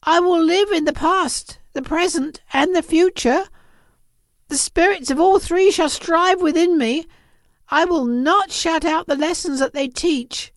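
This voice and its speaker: A woman's voice: very high voice, a little slow, no echo, studio quality, pitched above the performer's own voice. A woman voicing an elderly male reformed miser in an audiobook, giddy with joy and earnest, with exclamatory, old-fashioned phrasing. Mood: pleading, fervent. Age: older.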